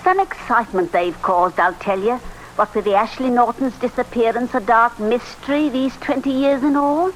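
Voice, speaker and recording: An actress playing a gossipy, ominous village innkeeper in an old radio drama, with a folksy, insinuating manner. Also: female voice, on the high side, moderate pace, lightly acted. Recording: noisy vintage radio recording.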